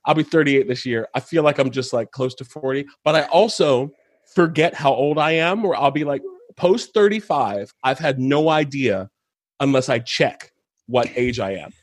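Husky man in his thirties. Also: mid-range voice, moderate pace, dry recording. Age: 30s. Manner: earnest, laughs easily. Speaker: guest. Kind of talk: casual conversation.

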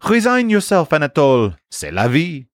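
A man's voice: mid-range voice, huge pitch swings, measured pace, a bit bright, dry recording, studio quality, heavily acted. Man as a breezy, worldly storybook mouse, swooping. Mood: dismissive. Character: worldly, fatalistic male French storybook mouse, loyal friend.

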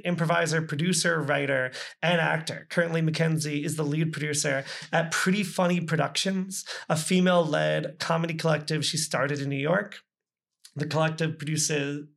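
A man's voice: a little high, fairly bright tone. A man hosting a podcast in a casual, informal and enthusiastic way. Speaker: podcast host.

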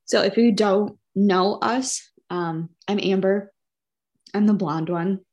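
A woman with a medium-pitched voice co-hosts a chatty podcast. Her delivery is informal and conversational, with a playful, teasing way of talking. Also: slow pace, a little mellow, dull audio. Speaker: podcast co-host. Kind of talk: casual chat.

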